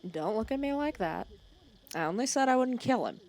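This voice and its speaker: A female villain voiced by a woman in an intimate ASMR audio drama, fussing over her nemesis with sarcastic, protective scolding. Her voice swings expressively up and down, often falling into a creaky, hushed murmur. She teases and threatens in the same caring breath. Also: on the high side, expressive, normal speed. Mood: dry menace.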